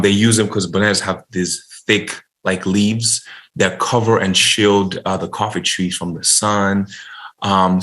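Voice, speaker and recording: A young man from Uganda, a guest founder telling his story in an interview, soft-spoken and halting with many restarts. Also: low voice. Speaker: guest, coffee company founder.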